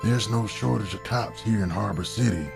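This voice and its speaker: A man's voice: lowish voice, moderate pace, creaky, music in the background. An actor playing a streetwise, loyal male vagrant in an audio drama. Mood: grim, supportive.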